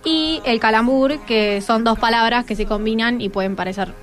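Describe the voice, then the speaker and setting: A woman's voice: fairly high pitch, normal speed, soft background music. A teenage female student with an Argentine accent, casually explaining as a radio guest. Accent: Argentine, Rioplatense. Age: teens.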